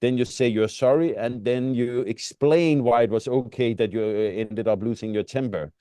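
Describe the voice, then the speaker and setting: A man's voice: mid-range voice, normal speed, dark tone, no room sound. A middle-aged man, a native Danish speaker with a Danish accent, co-hosting a casual reflective conversation and often searching for words. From Denmark. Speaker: podcast co-host.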